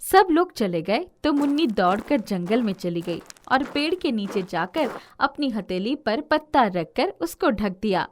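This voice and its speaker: A woman's voice: on the high side, very animated, measured pace, hardly any pauses, slight background noise. A woman narrating a scripted children's tale as the storyteller.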